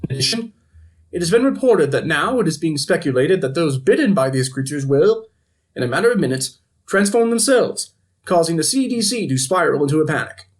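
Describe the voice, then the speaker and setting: A man's voice: a little high, expressive, brisk, close to an everyday voice. A man playing a flippant TV news anchor in an audio drama, reading reports with wry, editorializing asides. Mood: alarmed, sardonic. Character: flippant male TV evening news anchor covering a zombie outbreak.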